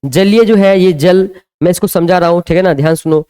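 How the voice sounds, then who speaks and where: Male teacher, high clear voice, live-stream grammar class, repeats every question and answer. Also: fairly quick, mellow. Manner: drills students with repeated call-and-answer questions. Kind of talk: live online grammar lesson.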